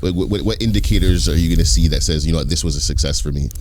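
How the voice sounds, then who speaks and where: A man's voice: low-pitched, fast, a little mellow, slight background noise. A male interviewer who asks questions with enthusiasm, often stammering and repeating words as he goes. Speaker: interviewer host. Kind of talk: casual interview.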